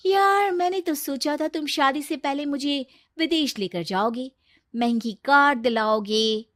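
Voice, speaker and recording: A woman's voice: sits high, measured pace, a bit bright, no room sound, voice pushed up. A woman plays a gold-digging young cartoon girlfriend. The voice is raised and girlish, with a dry sound. She coaxes coyly and makes demands sweetly. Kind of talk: Hindi cartoon story dialogue.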